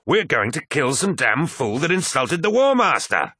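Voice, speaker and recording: A fierce, blunt warrior captain, acted by a man reading a story, pitched well above a low narrating voice. The delivery is forceful and bright, grim and belligerent, with every word hammered home. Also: big pitch swings, normal speed, breathy edge, no room sound, clean studio sound, heavily acted. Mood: scornful, emphatic. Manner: forceful, emphatic declarations.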